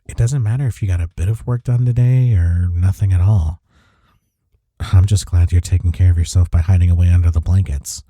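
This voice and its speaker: Man playing a tender, very mellow boyfriend. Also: sits low, steady tone, brisk, husky, no echo. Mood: tender, reassuring. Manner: tender, reassuring, soft-spoken. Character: devoted, gentle boyfriend comforting his struggling partner. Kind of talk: intimate comfort audio roleplay.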